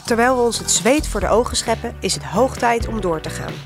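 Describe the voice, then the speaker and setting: A woman reading scripted voice-over narration in a steady, read-aloud way. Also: a little high, fairly quick, over music.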